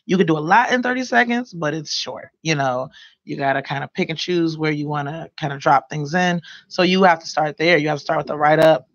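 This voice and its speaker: A young woman with a mellow voice and a gravelly, fry-laden edge gives casual advice as a studio guest. She talks loosely, circling with fillers and restarts. The recording is dry and close on a desk mic, a little dull and lo-fi. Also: low voice, brisk, no echo. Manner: loose, rambling delivery with many fillers. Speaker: guest, radio and podcast producer. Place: small radio studio. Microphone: desk microphone.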